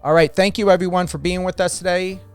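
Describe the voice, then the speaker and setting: Clear-voiced male radio host. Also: sits high, fairly even intonation, fast, soft background music. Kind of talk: unscripted radio interview and sign-off.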